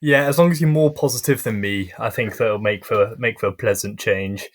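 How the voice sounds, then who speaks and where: A young man hosting a casual podcast interview, friendly and hesitant with frequent pauses and restarts. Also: on the low side, crowd noise. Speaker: podcast host.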